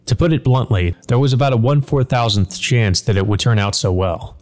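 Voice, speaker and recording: A man's voice: medium pitch, a little fast, a little mellow, close to an everyday voice. A man voicing a cynical demon servant in a visual novel, flippant and taunting in a casual way. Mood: smug musing. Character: cynical, mocking male demon servant, a grinning shadow.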